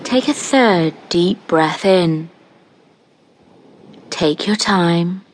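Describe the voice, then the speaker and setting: A woman with a fairly low voice leads a scripted guided relaxation. She speaks very slowly and gently, leaving long, measured pauses between phrases. Her delivery is soothing throughout. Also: slight background noise. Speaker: guided meditation narrator. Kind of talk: scripted guided meditation, hypnosis narration.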